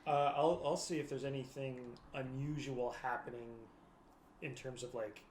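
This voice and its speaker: A person improvising casually and a little haltingly as a player in a tabletop roleplaying game. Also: medium pitch, fairly even intonation, darkish tone. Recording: echoey room with background noise.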